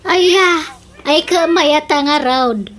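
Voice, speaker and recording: A nosy neighbour lady played by a woman in a comedy radio drama. She teases and pries in a very high, slow voice, with slight noise on the recording. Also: fairly bright tone. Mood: teasing, doubtful.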